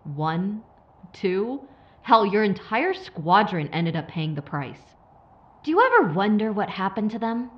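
A woman's voice: mid-range voice, sing-song, measured pace, highs cut off. A woman playing a sly, menacing corporate operative in an audio drama, taunting with mock sympathy. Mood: taunting menace. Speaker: villain.

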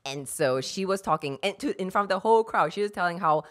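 Laughing woman telling stories, lively pitch. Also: fast talker. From Singapore. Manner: laughs often, tells stories with quoted voices. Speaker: guest comedian. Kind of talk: casual podcast chat. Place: small studio room. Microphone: studio mic on boom arm with headphones.

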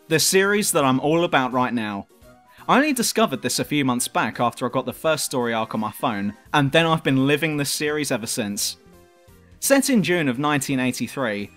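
A man's voice: fairly high pitch, fairly bright tone. A man reading a scripted review narration in a dramatic, emphatic way, as the presenter of a countdown video. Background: music.

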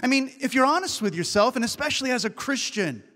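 Pastor guy preaching in a church, pretty high voice, lots of hall reverb, slows down on the big words. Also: expressive, pushed and bright. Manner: earnest preaching with rhetorical questions. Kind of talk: sermon. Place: church sanctuary.

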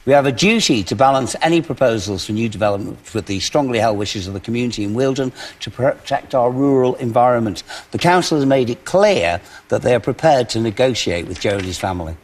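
A man reading a written statement aloud on a TV show in a plain, measured way. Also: medium pitch, expressive.

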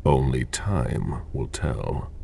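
A stern old blue-blooded vampire in a game drama. His voice is extremely low and gravelly, rising and falling expressively as he speaks with formal, measured dignity. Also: animated, dark tone, slight background noise, full character voice. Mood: measured, solemn. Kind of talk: dramatic game cutscene acting.

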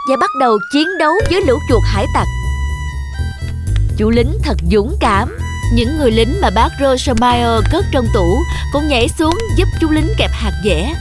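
Woman narrator, scripted children's storytelling, higher pitch, clear music underneath. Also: lively intonation, moderate pace. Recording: voice-over with music behind. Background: clear background music.

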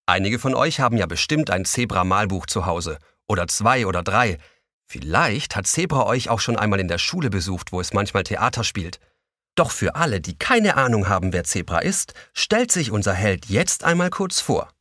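A man narrating a children's audio play, expressive and speaking directly to young listeners. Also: fairly low pitch, normal speed, no echo, clean studio sound.

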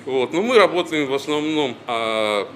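A man in his fifties giving a halting, reflective interview indoors as a studio leader. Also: a little high, animated. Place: indoors, on-camera news interview. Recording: faint background noise.

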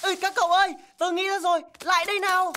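A woman's voice: very high-pitched, flat delivery, fast talker, heavy background noise. A woman playing an eager, hard-working young chick in a cartoon, with a chirpy, earnest manner. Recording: loud music behind the voice.

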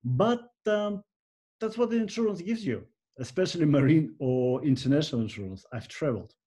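An older man with a Russian accent talks to his webcam from a room at home. His voice is dark and mellow, but he swings it high and low with sudden bursts of energy as he lectures, gesturing as he goes. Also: normal speed, slightly rough. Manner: animated, gesturing, lively swings in pitch. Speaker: insurance specialist, channel host. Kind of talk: unscripted talk to camera. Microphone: webcam at desk.

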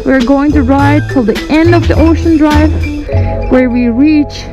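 Turkish accent lady in her 40s, high voice, chatty ride commentary over music. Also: unhurried. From Turkey. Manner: excited and chatty, addresses viewers as folks. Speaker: vlogger. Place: outdoors riding a motorcycle.

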